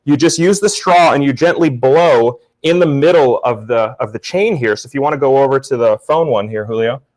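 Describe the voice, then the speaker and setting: A young man from Florida with a loud voice, teaching a casual live photography workshop and talking to viewers in the chat. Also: mid-range voice, brisk, rough recording. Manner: casual, upbeat, talks to chat. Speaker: photography instructor.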